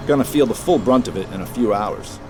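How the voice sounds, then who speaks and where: An actor playing a blunt, stubborn, profane sea captain and treasure hunter in an audio drama. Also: male voice, on the low side, expressive, a little fast, music in the background, natural voice. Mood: stubborn, irritated. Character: gruff, stubborn, hot-tempered male boat captain and treasure hunter. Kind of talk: full-cast audio drama acting.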